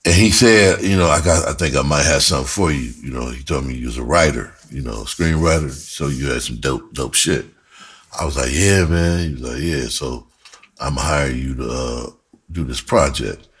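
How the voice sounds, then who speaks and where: Deep-voiced man with a husky edge. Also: moderate pace. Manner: animated, profane, slangy, repeats himself for emphasis; breaks into laughter often while talking. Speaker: screenwriter and performer telling his own story. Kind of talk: casual storytelling chat.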